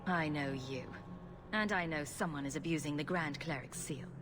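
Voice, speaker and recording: A woman's voice: sits low, moderate pace, natural voice. An actress playing a bold, sarcastic female rogue adventurer in a video game, with a teasing, challenging manner. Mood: sardonic, challenging. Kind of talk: video game cutscene acting. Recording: clear background ambient noise.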